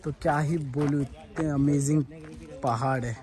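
A man's voice: fairly high pitch, steady tone, moderate pace, a little mellow. A young man vlogging to his phone camera outdoors on a forest trek, chatty and enthusiastic as he walks. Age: young adult. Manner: chatty, enthusiastic, talks to camera while walking. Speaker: travel vlogger. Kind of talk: casual trekking vlog. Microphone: phone at arm's length.

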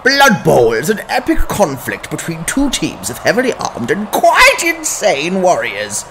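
A man's voice: sits high, huge pitch swings, a little slow, bright, forceful tone, a little hiss or hum, full character voice, poor audio. A man voicing a jovial sports commentator with a folksy, theatrical showman's delivery in a parody broadcast. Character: jovial male sports commentator explaining brutal fantasy game.

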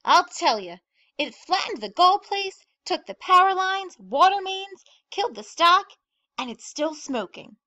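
A woman voicing excitable small-town gossips in a storybook, exclaiming breathlessly and emphatically as they spread exaggerated news. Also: very high voice, unhurried, dull audio, voice pushed up, heavily acted. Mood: alarmed, breathless. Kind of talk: animated storybook character reading.

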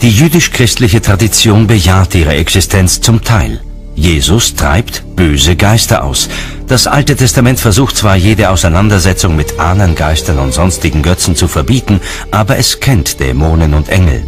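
A man narrates a documentary in a very low voice. The delivery is scripted, and music plays clearly behind him. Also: expressive, moderate pace.

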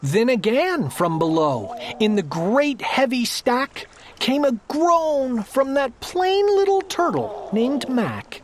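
Slow, high-voiced woman reading a storybook. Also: sing-song. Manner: theatrical, emphatic verse reading. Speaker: storybook narrator. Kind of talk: expressive children's read-aloud.

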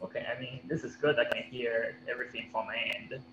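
A man's voice: medium pitch, steady tone, fast, a bit bright. Young guy, easygoing, muffled laptop mic with background hum. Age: young adult. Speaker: co-speaker. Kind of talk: casual chat. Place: lecture hall. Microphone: laptop on a video call.

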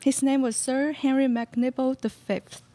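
A young woman playing an intense, mournful cat-obsessed bachelorette in a radio play, speaking in drawn-out, overly earnest phrases. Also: sits high, fairly even intonation, dark tone, dry recording. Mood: mournful, wistful. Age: young adult. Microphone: stage microphone on stand.

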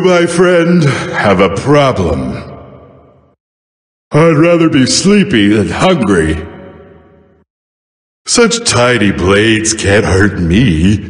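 A big sleepy giant from a video game, childlike and good-natured. He drags his words out extremely slowly and whines hesitantly, his pitch swooping up and down. The sound rings with long reverb. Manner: drowsy, slow, hesitant, whiny. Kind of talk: video game character voice lines.

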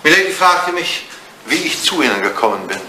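An actor playing a long-serving English butler, formal and dryly insolent, in a German radio play. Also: male voice, a little high, very animated, a little fast, pushed and bright, heavily acted. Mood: formal, correct. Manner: formal, deferential, drily cutting. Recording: roomy sound with clear background noise.

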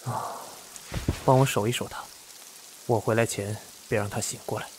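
A man acting an aloof, possessive immortal lord in a fantasy audio drama, cool, measured and sure of himself. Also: fairly low pitch, fairly even intonation, background noise, close to an everyday voice. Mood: calm, deliberate.

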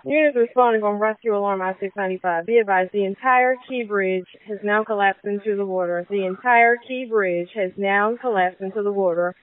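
A woman's voice: medium pitch, fairly quick, hardly any pauses, clean tone, narrow, tinny audio. A woman dispatcher making formal emergency announcements over a two-way radio. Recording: phone-thin dispatch radio transmission.

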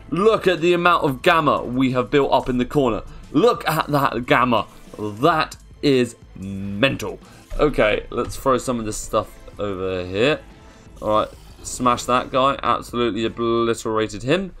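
A young British man giving casual, slangy gameplay commentary in an excitable, self-interrupting way. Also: medium pitch. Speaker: gaming YouTuber. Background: music under the voice.

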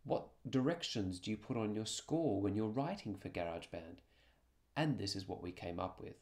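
Male teacher narrator, low voice, scripted educational presentation delivery. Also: animated, normal speed, darkish tone. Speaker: music teacher presenting student project.

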